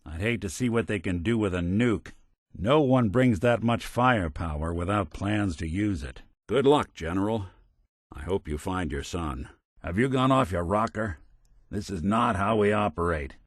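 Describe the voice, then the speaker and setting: A man's voice: fairly low pitch, animated, moderate pace, no room sound. A man playing a world-weary, middle-aged android detective in a video game, with an American accent and a wry, hard-boiled way of talking. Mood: grave, dry, then earnest, wry, then disapproving. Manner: wry, dry, old-fashioned hard-boiled slang. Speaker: companion character in a video game.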